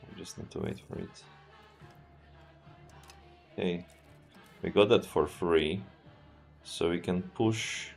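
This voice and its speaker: A man with a Serbian accent, a streamer thinking aloud as he plays a strategy game in a relaxed, halting way and answering chat. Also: low voice, gravelly, soft background music. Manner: relaxed, thinking aloud, often trails off and restarts. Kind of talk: live gameplay commentary.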